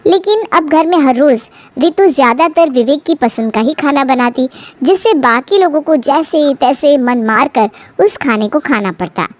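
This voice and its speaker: A woman's voice: sits high, expressive, normal speed. A woman reading scripted narration for a family bedtime story.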